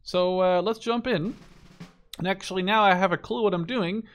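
A man's voice: high-pitched, moderate pace, a bit bright, soft background music. A man in his twenties giving casual gameplay commentary, rambling, interrupting himself and swearing now and then. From Netherlands. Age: 20s. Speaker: gaming YouTuber.

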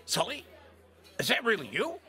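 An actor playing a stingy old acquaintance who stammers and turns down a request for money. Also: male voice, very high-pitched, huge pitch swings, normal speed, pushed and bright, soft background music, exaggerated voice. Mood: evasive. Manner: evasive, stammering, brushing off. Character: stingy old acquaintance, middle-aged man.

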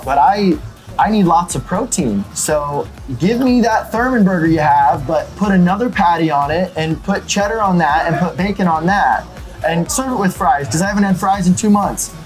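A man's voice: sits high, expressive, moderate pace, soft background music, rough recording. A young man, talking casually and a little ramblingly about his restaurant's food, with lots of fillers and restarts. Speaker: restaurant owner. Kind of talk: casual interview about the menu. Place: indoors at a restaurant table.

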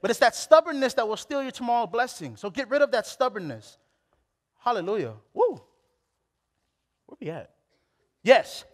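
Young man from Hawaii, very high voice, animated preacher, restarts a lot, headset mic, big hall reverb. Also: moderate pace, long pauses. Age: late 20s. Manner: animated, exclamatory, with many restarts. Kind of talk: sermon. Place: church stage.

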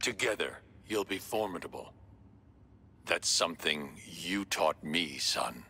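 An actor playing a grim, menacing masked vigilante turned villain in a video game, gravelly, ominous and commanding. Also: male voice, sits low, lively intonation, unhurried, a little hiss or hum, heavily acted. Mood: solemn. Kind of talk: video game character acting. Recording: loud background music.

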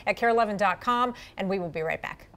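A woman's voice: medium pitch, fast. Playful woman TV host. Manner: playful, jokey, laughs easily. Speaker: TV morning show host. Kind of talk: chatty live TV segment. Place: TV studio kitchen set. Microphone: lapel mic.

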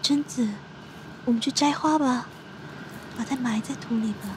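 A woman playing a resigned, soft-hearted girl in an amateur audio drama. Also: high voice, moderate pace, noisy background, close to an everyday voice. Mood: gentle.

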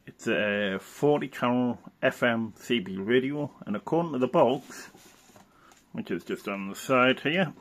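A man's voice: medium pitch, animated, a little slow. A man giving an informal, unscripted hands-on demonstration, talking hesitantly and sometimes trailing off. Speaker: presenter showing vintage radio gear. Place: indoors at a worktop.

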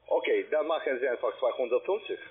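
A man with a foreign accent, not a native speaker, working as a call centre agent and giving halting, insistent instructions. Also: medium pitch, expressive, breathy edge. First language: not German. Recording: tinny, band-limited phone line.

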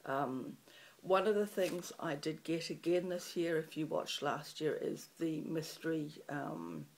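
Middle-aged kiwi lady, croaky gravelly voice, chatty and rambly, webcam in a small room. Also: low voice, steady tone, unhurried, a little hiss or hum. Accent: New Zealand. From New Zealand. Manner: chatty, rambling with many ums. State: croaky early-morning voice. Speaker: craft channel host. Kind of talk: casual chat to camera. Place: small room in front of a festive fabric backdrop.

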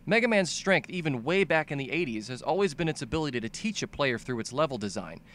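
A man's voice: on the high side, sing-song, fast, fairly bright tone. A young man narrating a comedic scripted video essay about games in an exaggerated, sweary, ranting manner. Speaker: video game commentator.

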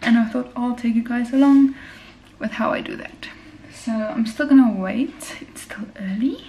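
A woman's voice: a little high, moderate pace, warm tone, slight background noise, low-quality recording. A young woman vlogging at home, chatting casually to the camera while filming on a handheld phone in her kitchen and bathroom. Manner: rambling, talks straight to the camera while cooking. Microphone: handheld phone camera at arm's length.

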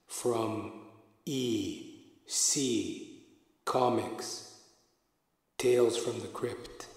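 A man's voice: fairly low pitch, extremely slow. A man reading a scripted credits announcement for a podcast audio play. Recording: very echoey, long reverb.

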